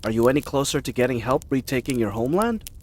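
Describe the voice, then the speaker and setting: A man's voice: medium pitch, very animated, quick pace, darkish tone, a little hiss or hum, close to an everyday voice. A man playing a friendly, bumbling knight in a fantasy audio roleplay, chatty and rambling, with awkward, flustered hesitations. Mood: chatty, curious. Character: friendly bumbling babbling young-hearted male knight, eager and awkward.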